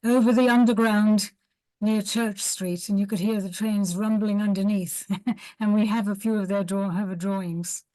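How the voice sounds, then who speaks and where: A woman's voice: mid-range voice, fairly even intonation, moderate pace, mellow, dry recording, low-quality recording. A woman audience member speaking conversationally on an online call, offering comments and reminiscences. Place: online video call, close dry sound.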